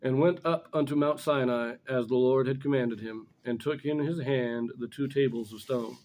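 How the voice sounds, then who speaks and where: Middle aged guy doing a bible study talk, mellow mid-pitched voice, explains stuff step by step. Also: normal speed, poor audio. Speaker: scripture commentator. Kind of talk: informal religious lesson with scripture reading. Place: small indoor room in front of a blackboard.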